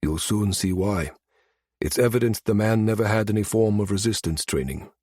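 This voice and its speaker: A man voicing a guarded, dutiful state security minister in a science fiction audiobook, calm and measured. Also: fairly low pitch, no room sound, studio quality, close to an everyday voice. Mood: calm, deferential.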